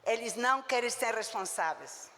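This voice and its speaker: A woman's voice: on the high side, animated, normal speed, bright, forceful tone. Woman doc giving a blunt, punchy lecture, big echoey conference hall. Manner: emphatic and blunt, with short punchy verdicts. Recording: long reverb of a lecture hall.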